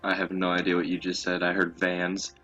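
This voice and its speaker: A young man with a very low voice that has a bright, forward edge. He tells stories in a loose, casual co-host style and swears freely. Faint music plays behind him. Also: monotone, a little fast. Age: young adult. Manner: crude, profanity-laden. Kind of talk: casual chat, storytelling.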